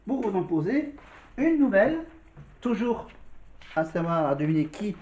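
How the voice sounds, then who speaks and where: Male vlog host, casual and repetitive, soft-edged tone, roomy dull sound. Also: high-pitched, big pitch swings, a little slow. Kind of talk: casual video commentary with quiz questions.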